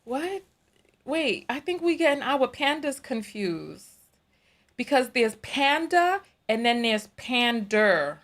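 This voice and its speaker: A woman's voice: high voice, slow pace. A woman with a New York accent hosting a casual livestream, chatting and reading viewer comments, laughing and repeating herself. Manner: chatty, animated, laughs often, repeats phrases. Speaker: livestream host.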